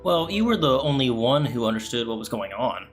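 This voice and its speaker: A man's voice: medium pitch, sing-song, brisk, faint music behind. A man giving casual, laid-back playthrough commentary as a gaming streamer, muttering short reactions.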